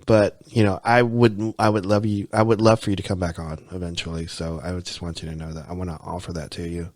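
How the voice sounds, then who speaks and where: A man's voice: low voice, level tone, quick pace, a little mellow, dry recording. An American man hosting a podcast, talking casually and rambling, often repeating himself and saying "you know". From United States. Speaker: podcast host. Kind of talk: casual conversation.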